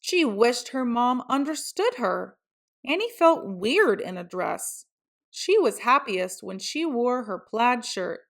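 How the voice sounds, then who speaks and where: A woman's voice: fairly high pitch, big pitch swings, slow pace. A woman reading a children's picture book aloud as a storyteller. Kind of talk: scripted children's story narration.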